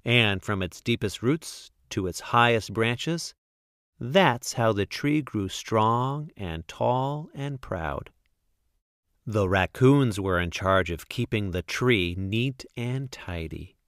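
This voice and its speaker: A man reading a children's picture book aloud as a guest storyteller, expressively and with deliberate, emphatic phrasing. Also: on the low side, no room sound, studio quality.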